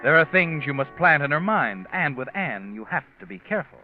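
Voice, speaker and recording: An actor playing a sinister, all-knowing narrator of a radio crime mystery, with an insinuating, knowing delivery. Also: male voice, a little high, very animated, a little fast. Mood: ominous, intense. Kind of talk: 1940s radio suspense narration in second person. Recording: muffled old radio recording with music.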